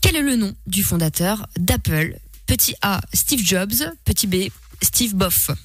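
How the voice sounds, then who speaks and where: A woman's voice: medium pitch, lively intonation, measured pace, no room sound. Playful female radio co-host. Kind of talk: radio game announcement and banter. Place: radio studio.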